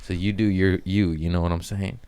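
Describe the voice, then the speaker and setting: Man, deep voice, podcast host, casual comic chat, slangy, profane, rambling. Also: flat delivery, a little fast, warm tone, smooth.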